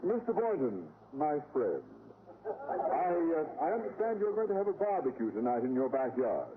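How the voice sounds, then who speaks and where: Pompous, blustering school principal. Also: male voice, fairly high pitch, very animated, moderate pace. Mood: ingratiating. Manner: pompous, explosive. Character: Mister Conklin, blustering, irascible middle-aged male school principal. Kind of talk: old-time radio comedy acting. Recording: scratchy, tinny old radio recording.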